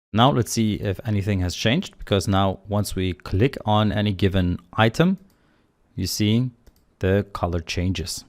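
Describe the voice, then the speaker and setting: A man with a slightly low voice narrates a screencast coding tutorial. He walks through each line of code in a relaxed, conversational manner, like an instructor explaining his work as he goes. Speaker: programming instructor.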